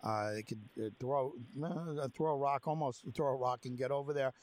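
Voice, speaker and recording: A man's voice: medium pitch, normal speed, a little mellow. A man hosting an interview, talking in a rambling way with many fillers. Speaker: host. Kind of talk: casual interview. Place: near the Polish border.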